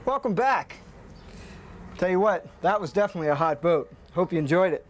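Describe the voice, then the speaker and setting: A man's voice: sits high, lively intonation, fairly quick, faint music behind. A man working as a boat rigger, explaining step by step how to mount marine electronics in a practical way. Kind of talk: how-to demonstration.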